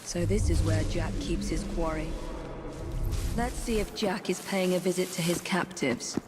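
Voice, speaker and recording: An actress playing a resolute Victorian vigilante in a video game, with an English accent. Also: female voice, fairly low pitch, measured pace, music in the background, lightly acted. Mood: brooding. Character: resolute Victorian woman vigilante hunting a killer.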